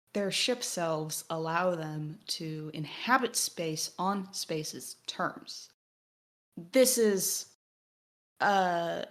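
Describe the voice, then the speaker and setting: A woman co-hosting a podcast, discussing a game analytically in a thoughtful, academic way. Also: on the low side. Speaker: podcast co-host.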